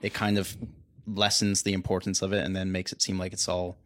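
A man's voice: low voice, completely flat delivery, fairly quick. A man co-hosting a casual faith podcast, searching for words and agreeing often. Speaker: podcast co-host. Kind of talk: casual discussion.

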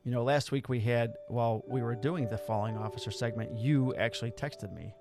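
A man's voice: medium pitch, a little fast, music in the background. A man who is a police officer and podcast host, telling a work story in a blunt, wry way with plenty of swearing. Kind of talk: casual storytelling.

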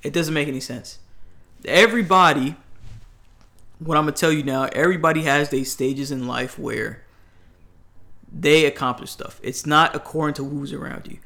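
A man's voice: on the high side, steady tone, normal speed, gravelly, pauses often, sharp tone. A man, giving blunt advice in a casual chat, emphatic and rambling with restarts. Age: 20s.

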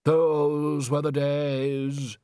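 A man voicing a wistful old music director, reminiscing slowly and mournfully in a storybook. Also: fairly high pitch, dry recording, clean studio sound, voice pushed up, lightly acted. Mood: wistful, nostalgic.

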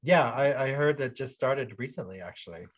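A man's voice: medium pitch, lively intonation, normal speed. A young adult man co-hosting a casual podcast, chatty and enthusiastic, often laughing and restarting his sentences. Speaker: podcast co-host. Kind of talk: casual chat.

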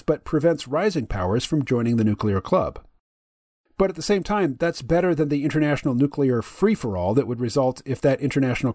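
Deep-voiced man, measured podcast host. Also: darkish tone, no room sound, studio quality. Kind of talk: reading a dialogue aloud.

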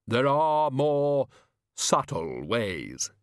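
Guy doing the grim reaper for an audiobook, real slow and grave, very polite and formal. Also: mid-range voice, sing-song, no room sound, studio quality, pitched above the performer's own voice. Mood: grave, explaining. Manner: formal, measured. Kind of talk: audiobook character reading.

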